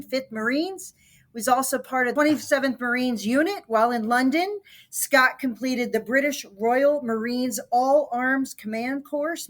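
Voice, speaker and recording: Brisk woman host reading an introduction. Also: on the high side. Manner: brisk, formal delivery. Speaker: podcast host.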